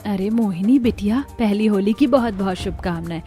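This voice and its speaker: Woman acting a soft, gentle middle-aged mother. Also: mid-range voice, normal speed, faint music behind, lightly acted. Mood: fond, warm. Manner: soft, affectionate. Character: gentle middle-aged mother of married daughters, fond, easily hurt. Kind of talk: Hindi cartoon story dialogue.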